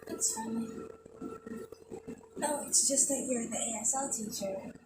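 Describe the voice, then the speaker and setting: A woman playing a friendly, chatty young woman in a casual roleplay audio, with a soft, slightly hesitant manner over kitchen sounds. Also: a little high, unhurried, close to an everyday voice. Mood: friendly, teasing. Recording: roomy, distant mic, loud background noise. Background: kitchen and cooking sounds.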